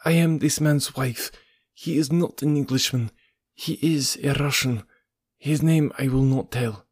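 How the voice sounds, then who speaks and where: A man's voice: medium pitch, measured pace, darkish tone, slightly rough, dry recording, studio quality, voice pushed up. A man reading an audiobook, voicing a proud, exhausted foreign woman making a dignified confession. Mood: disdainful.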